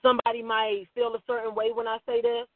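Man, 30s, very high pitch, blunt casual host with quick asides; dry, tinny phone-quality audio. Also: completely flat delivery, no echo. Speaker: podcast host. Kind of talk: casual podcast chat.

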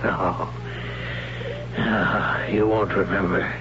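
An actor playing a stern, measured mentor named Death in an old radio drama. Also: male voice, low voice, fairly even intonation. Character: grave mentor called Death, stern teacher. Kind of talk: 1970s radio drama acting. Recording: lo-fi old radio broadcast with noise.

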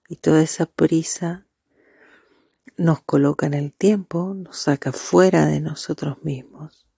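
A meditation guide speaking softly and breathily in a calming, deliberate way with marked pauses. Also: mid-range voice, creaky, husky, dry recording, studio quality.

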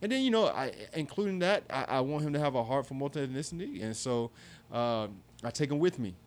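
A man's voice: medium pitch, animated, a little fast. A man in his thirties co-hosting a conversational discussion, earnest and reflective, often restarting his sentences. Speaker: podcast co-host.

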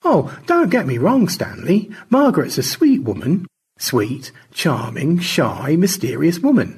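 A man's voice: fairly high pitch, very animated, measured pace, darkish tone, breathy edge, voice pushed up, full character voice. A man voicing an irritable, sardonic space crewman in a storybook, teasing and needling. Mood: mocking, needling.

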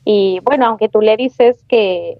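A Mexican woman guest talking in a chatty, animated way, with colloquial slang. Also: medium pitch, unhurried, no echo, dull audio. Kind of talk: casual podcast conversation.

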